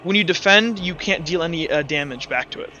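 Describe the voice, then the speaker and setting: A man running a tabletop roleplaying game as game master in a casual, halting way, thinking aloud as he narrates. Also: fairly high pitch, pushed and bright, lo-fi sound.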